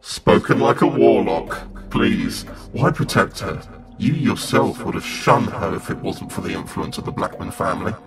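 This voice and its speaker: A man's voice: lowish voice, animated, moderate pace, vocal fry. An actor playing a sinister, devilish male villain in an audio drama, smug and taunting. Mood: mocking. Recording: dramatic music under the voice.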